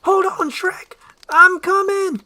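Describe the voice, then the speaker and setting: A woman voicing a frantic, excitable male talking donkey who is melodramatic and repeats himself in a storybook-style script reading. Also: extremely high pitch, slow, pitched above the performer's own voice, heavily acted. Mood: urgent shouting.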